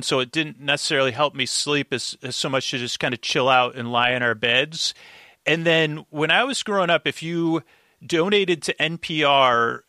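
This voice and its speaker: A man chatting casually as a podcast guest, telling things in a halting, rambling way and circling back mid-sentence. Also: fairly high pitch, sharp tone, no room sound, clean studio sound. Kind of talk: casual interview chat, storytelling.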